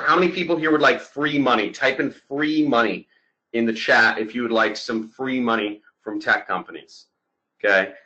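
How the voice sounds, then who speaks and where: A man presenting a sales webinar over slides, talking in an energetic, emphatic, salesy way. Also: lowish voice, lo-fi sound.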